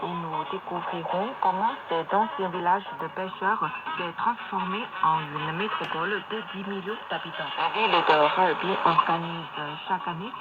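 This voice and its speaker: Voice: medium pitch, moderate pace, hardly any pauses. A radio presenter reading a scripted introduction to a travel programme over shortwave. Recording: noisy shortwave radio, narrow phone-like band.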